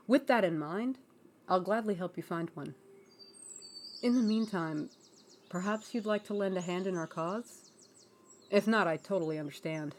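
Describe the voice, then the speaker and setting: A woman acting an earnest, diplomatic young princess in a fantasy audio roleplay, measured and polite, gently exasperated at times. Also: lowish voice, a little hiss or hum, pitched below the performer's own voice, lightly acted.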